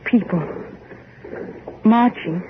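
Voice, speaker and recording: An actress playing a bewildered, insistent newcomer with dramatic questioning, in 1940s radio horror style. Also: female voice, mid-range voice, extremely slow. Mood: shocked, urgent. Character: bewildered, insistent heir newly arrived on island. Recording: scratchy, muffled 1940s radio recording.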